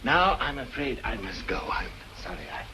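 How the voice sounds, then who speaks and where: An actor as a halting, ingratiating old scholar. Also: male voice, mid-range voice, very animated, crowd noise, lightly acted. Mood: bashful, flustered. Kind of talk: old radio mystery drama acting. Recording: noisy old radio drama recording.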